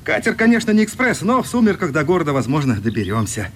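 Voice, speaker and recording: A comradely, adventurous man on a journey in a radio drama. His fairly high voice moves freely up and down, with faint noise behind. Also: sing-song, fast talker, a bit bright. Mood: good-humoured. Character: friendly, adventurous, comradely adult male traveller. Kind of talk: radio play acting.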